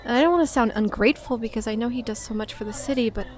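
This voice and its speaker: A woman's voice: a little high, racing, a crowd in the background, natural voice. A woman playing an earnest, overshadowed young girl in an audio drama, speaking hesitantly and sincerely. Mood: wistful. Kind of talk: heartfelt stage dialogue in audio drama.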